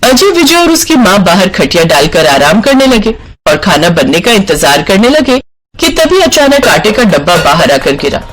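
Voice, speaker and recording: A man narrating a scripted animated moral story as its storyteller. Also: high-pitched, moderate pace.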